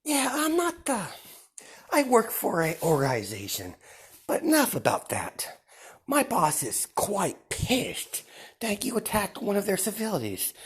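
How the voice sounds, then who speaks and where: A woman voicing a gleeful, sadistic young male villain in a fan story, with a mocking, drawn-out, often whispered delivery. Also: medium pitch, husky, voice pushed up, full character voice.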